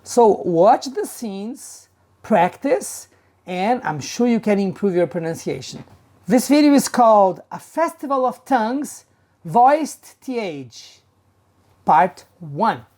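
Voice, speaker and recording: A woman teacher giving an instructional talk in a measured, emphatic, didactic manner. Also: mid-range voice, sing-song, mellow. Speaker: English pronunciation teacher.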